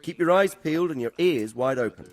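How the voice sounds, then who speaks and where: An actor playing a middle-aged, protective noble father who gives measured, instructive advice in a game. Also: a little high, expressive, natural voice. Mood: concerned. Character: protective, wise noble father advising his son. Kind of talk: dramatic game cutscene acting.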